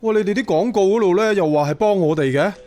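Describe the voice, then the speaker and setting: A man's voice: high voice, quick pace, a little mellow, natural voice. An actor with a Hong Kong Cantonese accent playing a resigned young man weighed down by a mortgage, speaking conversationally in a radio drama. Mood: puzzled. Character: young man, resigned mortgage-burdened would-be model maker.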